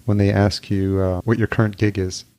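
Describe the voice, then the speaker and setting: A man's voice: fairly low pitch, flat delivery, moderate pace, dark tone, clear, resonant tone, no echo. A middle-aged man hosting a podcast, asking conversational interview questions. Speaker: podcast host.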